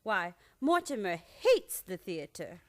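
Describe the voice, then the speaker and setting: A woman's voice: mid-range voice, very animated, takes it slowly. A woman playing a genteel, sweetly chatty old spinster aunt in a live old-time radio play. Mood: earnest, fond. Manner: genteel, fussy hostess chatter.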